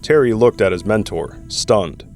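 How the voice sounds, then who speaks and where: Low-voiced male narrator over music. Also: lively intonation, a little slow, a little mellow. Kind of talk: scripted audio drama narration. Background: background music.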